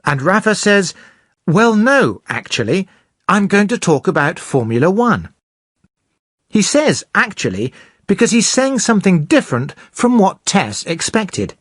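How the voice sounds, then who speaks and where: A presenter explaining English grammar slowly and clearly for beginners. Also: medium pitch, very animated, no echo, clean studio sound. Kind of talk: scripted teaching narration.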